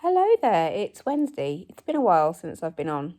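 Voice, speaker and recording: A woman in her forties, hoarse from a cold, chatting casually in a home vlog recorded on her phone, rambling with plenty of ums and likes. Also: lowish voice, huge pitch swings, a little fast, dark tone. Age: 40s. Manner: rambling, self-deprecating, many ums and likes. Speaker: vlogger. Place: living room at home. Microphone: phone camera.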